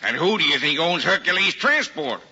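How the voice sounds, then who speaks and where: An actor playing a knowing pal in an old radio drama, talking emphatically and pointedly. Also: male voice, on the high side, sing-song, normal speed, sharp tone, slightly rough, full character voice. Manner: emphatic, leading questions. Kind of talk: 1940s-50s radio acting. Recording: muffled old radio broadcast, long reverb.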